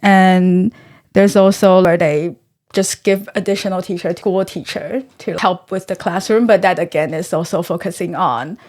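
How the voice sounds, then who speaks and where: A woman's voice: on the low side, fairly even intonation, a little mellow. Earnest young woman from Taiwan. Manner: thoughtful, earnest, pauses to find words. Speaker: guest researcher. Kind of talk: conversational interview.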